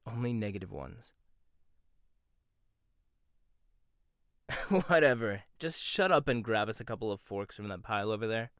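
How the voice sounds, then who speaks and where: A man's voice: lowish voice, a little fast, a bit bright, clear, resonant tone, no room sound, studio quality. A young man playing a cocky, condescending rival chef in a boyfriend ASMR roleplay, teasing and smug, often laughing. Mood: gruff. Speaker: voice actor.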